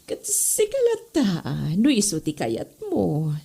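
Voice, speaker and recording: A woman playing an easily charmed schoolteacher in a comedy audio drama, coy and expressive, with a Northern Philippine accent. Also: mid-range voice, slow, husky, heavily acted. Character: female schoolteacher, stern reputation but easily charmed.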